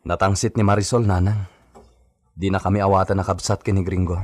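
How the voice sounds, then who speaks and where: An actor playing an earnest, conciliatory young hacienda man, a dutiful son, in an Ilocano radio drama with a husky voice. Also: low-pitched, level tone, brisk, darkish tone.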